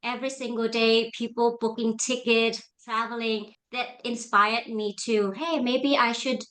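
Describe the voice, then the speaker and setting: A woman's voice: fairly high pitch, fairly even intonation, a little slow, fairly bright tone, low-quality recording. A woman in her thirties from Denmark, a coach talking as an interview guest over a video call, chatty and often tagging her lines with "right?". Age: 30s. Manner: chatty, tags lines with "right?" and "you know". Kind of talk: casual interview answers.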